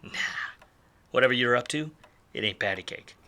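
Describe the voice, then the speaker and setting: An actor playing a hard-drinking, wisecracking, jaded 1940s private detective in a film noir radio play, with sardonic, slangy delivery. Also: male voice, medium pitch, lively intonation, moderate pace, sharp tone. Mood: sardonic, needling.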